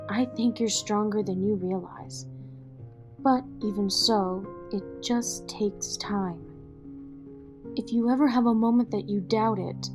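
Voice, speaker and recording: A woman's voice: mid-range voice, lightly acted. Actress as composed, commanding mother over music. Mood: encouraging, firm. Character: poised, firm, wealthy mother coaching her daughter. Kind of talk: audio drama acting.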